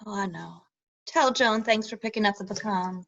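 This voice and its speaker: A woman's voice: mid-range voice, normal speed, vocal fry, a bit bright, highs cut off. A woman voicing a lonely, timid elder sister in a stage play reading, talking hesitantly and tearfully with stammers. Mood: gentle, subdued.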